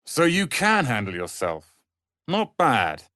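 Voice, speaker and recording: A man voicing a proud, sharp-tongued young wizard's apprentice and protective brother in a fantasy video game, articulate and theatrical. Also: mid-range voice, huge pitch swings, takes it slowly, no room sound, studio quality, exaggerated voice. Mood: haughty, composed.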